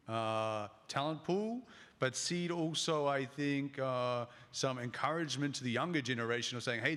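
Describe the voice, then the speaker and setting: Halting man in a reverberant hall. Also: medium pitch, a bit bright. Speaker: panel participant. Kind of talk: conversational panel discussion. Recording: reverberant hall, live event.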